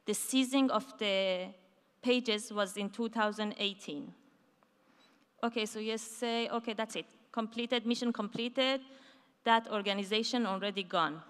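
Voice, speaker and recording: A woman giving a conference keynote on a large dark stage, talking in a loose conversational way with fillers and restarts. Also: fairly high pitch, clear, resonant tone. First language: not Hebrew. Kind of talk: conference talk. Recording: hall reverb from a large stage.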